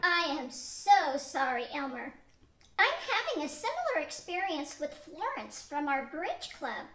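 An older woman voices Marge, an earnest and determined old wife in a homespun radio drama. She speaks very high and slowly, reading carefully. Her voice rings in an echoey room. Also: big pitch swings, a bit bright, full character voice. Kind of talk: amateur radio-play acting from a script. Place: small studio with a draped backdrop. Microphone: stand mic.

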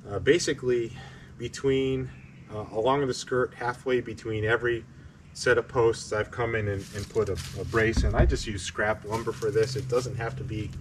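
A middle-aged man explaining a building project in casual, hesitant short phrases with frequent pauses. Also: lowish voice, fairly even intonation. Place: pole barn interior and outdoors beside it. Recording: distant mic with faint ambient noise.

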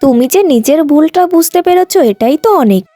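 A patient, caring young housewife in a cartoon story, voiced by a woman, with a very high, gentle voice. The sound is dry, with soft music behind it. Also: lively intonation, moderate pace, dry recording. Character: kind, hard-working young sister-in-law, patient and caring.

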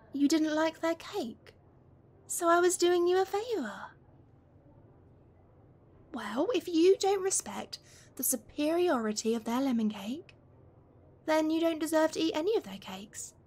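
A woman playing a playful, affectionate young best friend in a gentle, teasing ASMR roleplay. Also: high-pitched, expressive, a little slow, long pauses. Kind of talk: gentle ASMR roleplay, one-sided conversation.

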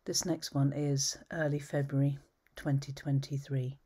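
A woman reading her own poems at home, measured and thoughtful, often trailing into a whisper. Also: very deep voice, little pitch movement, mellow, husky. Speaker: poet. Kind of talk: poetry reading. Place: home study with bookshelves, indoors.

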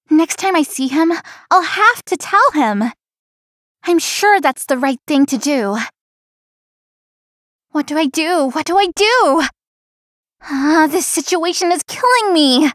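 A woman's voice: high voice, a bit bright, no echo, clean studio sound. A woman playing an anxious, chatty young florist in a visual novel, talking expressively to herself and others. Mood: flustered. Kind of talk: visual novel voice acting, inner monologue.

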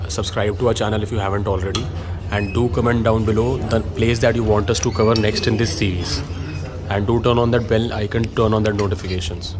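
A man hosting a food vlog, explaining the calories of dishes plainly as he films them in a restaurant. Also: on the low side. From India. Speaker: food vlogger. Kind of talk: casual food review narration. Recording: restaurant background noise.

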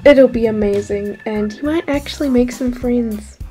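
A vain, self-important popular teenage girl, acted by a woman in a homemade skit. The voice sits fairly high and is a little soft on top. She sounds entitled and sure of her own importance, with faint music underneath. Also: moderate pace, lightly acted. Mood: smug.

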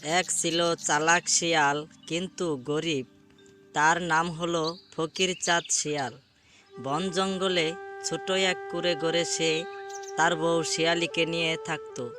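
Even, higher-pitched male storyteller. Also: takes it slowly, soft background music. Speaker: narrator. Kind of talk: scripted moral-story narration.

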